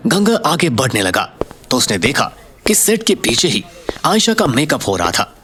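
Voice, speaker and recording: Lively male audio story narrator. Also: mid-range voice, moderate pace, slight background noise. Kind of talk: dramatic scripted narration.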